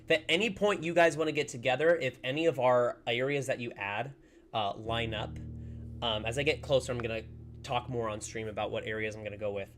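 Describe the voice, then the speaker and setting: A man's voice: medium pitch, fairly quick, fairly bright tone, soft background music. Chatty upbeat man streaming a game. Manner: chatty, upbeat, rambling with many fillers. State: slightly hoarse, recovering from a lost voice. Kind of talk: casual livestream chat while playing.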